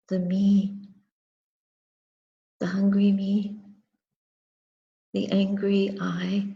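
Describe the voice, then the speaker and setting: A woman, a Buddhist meditation teacher, giving a guided reflection in a contemplative, measured manner, in short phrases with long pauses. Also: mid-range voice, dark tone. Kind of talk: guided meditation and dharma talk. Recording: muffled recording with long reverberant echo.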